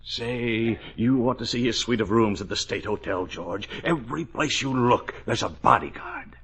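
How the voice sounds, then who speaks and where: An actor playing a brash, emphatic business associate who confides in an old radio comedy-drama style. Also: male voice, medium pitch, normal speed, a bit bright, breathy edge. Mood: confiding, brisk.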